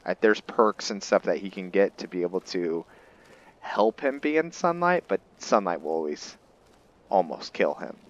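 A man giving unscripted, reflective roleplay gameplay commentary, thinking aloud in a halting, musing way. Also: low-pitched, expressive, lo-fi sound. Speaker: gamer narrating a roleplay playthrough. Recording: game rain and thunder behind voice. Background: game ambience of rain and thunder.

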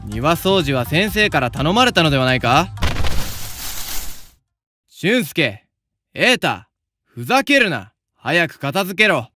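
Man acting a confident Choshu samurai senior, lightly high-voiced. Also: sing-song, takes it slowly, long pauses, pushed and bright, no echo, soft background music, heavily acted. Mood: scolding. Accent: Yamaguchi (Choshu). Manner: old-fashioned, breezy authority. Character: confident, vain but kindly senior samurai student. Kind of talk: anime-style voice drama.

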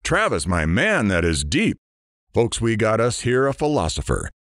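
A sarcastic, irreverent morning radio DJ from an audio drama, played by a man. His low voice jumps about with showman energy. The sound is dry and close. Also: wildly swooping pitch, moderate pace, a bit bright, no room sound, exaggerated voice. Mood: teasing. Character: brash, sarcastic morning shock-jock radio DJ. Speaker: radio DJ host.

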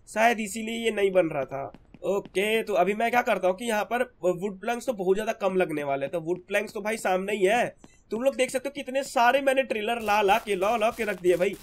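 A hoarse young man with a sore throat, chatting casually as he narrates his gameplay to his viewers. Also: high voice. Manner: chatty, keeps calling viewers brother. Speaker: gaming channel host.

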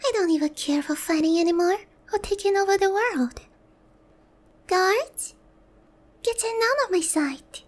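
A very high, girlish-pitched demon queen, acted by a woman for roleplay ASMR. She speaks slowly and theatrically, often slipping into breathy near-whispers. Her haughty, teasing pride covers a lonely, easily flustered heart. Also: expressive. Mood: offended, flustered.

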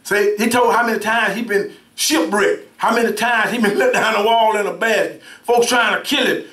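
A middle-aged minister preaching a sermon, with call-and-response, repeated words and swings between shouts and soft asides. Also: male voice, sits high, steady tone, normal speed. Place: church sanctuary, indoors, at a pulpit. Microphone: pulpit mic from a far camera. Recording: roomy church sound from far off.

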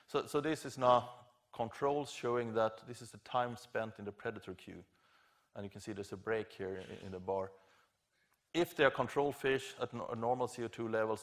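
A middle-aged man giving an academic conference lecture, explaining informally and haltingly with self-corrections. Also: on the low side, vocal fry. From Scandinavia. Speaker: professor, keynote lecturer. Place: large lecture theatre stage at a podium.

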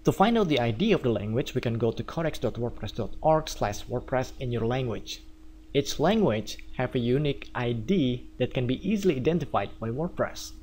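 A man from Indonesia with an Indonesian accent, walking viewers through a software tutorial step by step. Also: mid-range voice, brisk, a little hiss or hum. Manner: steady step-by-step explaining. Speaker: theme developer. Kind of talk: screencast tutorial.

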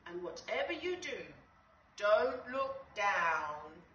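A woman voicing a bossy, urgent bug who shouts commands in a children's storybook. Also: mid-range voice, big pitch swings, slow. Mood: commanding. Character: bossy, urgent little bug taking charge. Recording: roomy, distant, slightly noisy.

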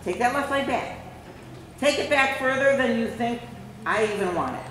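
A woman's voice: a little high, normal speed, fairly bright tone, far from the mic. A woman coaching a horse rider live, calling out short commands and often repeating them. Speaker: horse riding instructor. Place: large indoor riding arena. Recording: very echoey, reverberant hall with faint noise.

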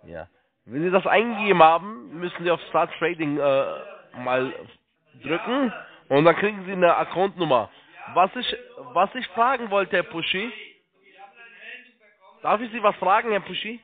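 A man working as a call-centre agent, giving halting step-by-step instructions, repeating himself and spelling things out. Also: sits high, lively intonation. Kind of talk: step-by-step phone sales instructions. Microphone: telephone. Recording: tinny narrow phone line.

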